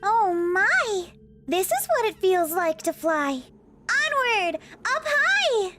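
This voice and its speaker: A woman's voice: very high voice, sing-song, takes it slowly, over music, heavily acted. A woman voicing an earnest, proper young girl adventurer in rhyming, theatrical lines for a fantasy game fan dub. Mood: delighted. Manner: theatrical, rhyming storybook delivery.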